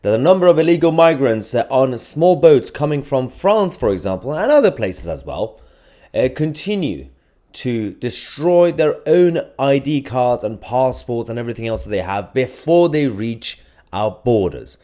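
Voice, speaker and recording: A man's voice: mid-range voice, big pitch swings, normal speed, warm tone. A man hosting an online news channel, delivering opinionated commentary in emphatic bursts. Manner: emphatic, rising and falling in bursts.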